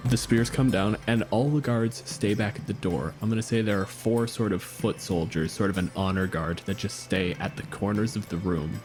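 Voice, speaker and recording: A man narrating as game master of a tabletop game, relaxed and chatty, full of little fillers. His voice is on the low side. Faint music plays underneath. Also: moderate pace, few pauses. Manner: chatty, laid-back with frequent fillers.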